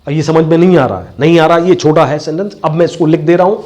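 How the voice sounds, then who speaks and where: Male accent coach, Indian accent, voice a little higher than average, instructive classroom style with deliberate repetition. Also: a little mellow. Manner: didactic, repeats key phrases. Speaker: voice and accent teacher. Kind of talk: bilingual classroom lecture.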